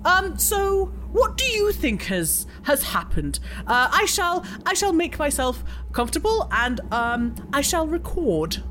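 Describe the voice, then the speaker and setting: Woman playing a high, animated scientist investigator. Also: a little hiss or hum, heavily acted. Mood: curious, businesslike. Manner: halting, precise, formal. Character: brisk, curious, sceptical female scientist investigator. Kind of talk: improvised role-play in character.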